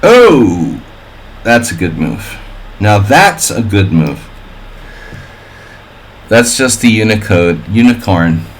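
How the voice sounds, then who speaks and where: A man with a fairly low voice thinks aloud through a chess simul on his live stream, calling out moves between wry jokes and puns. A faint hum of room noise sits under his voice. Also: very animated, slow, pauses often. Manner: wry and offhand, chatting with viewers. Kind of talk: live-stream commentary while playing a simul.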